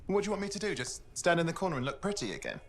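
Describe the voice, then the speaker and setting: A man's voice: fairly high pitch, big pitch swings, rapid-fire, a little hiss or hum. An actor playing a sarcastic, skeptical male teammate in a video game, needling as he talks. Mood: sardonic. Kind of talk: video game cutscene acting.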